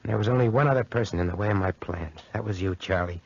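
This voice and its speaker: A man's voice: fairly low pitch, quick pace, creaky, darkish tone, slightly rough. An actor playing a cynical, callous young schemer in a 1940s radio drama, with slangy American tough-guy talk. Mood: brooding narration. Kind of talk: 1940s radio drama acting with first-person narration. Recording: muffled, band-limited 1940s radio recording.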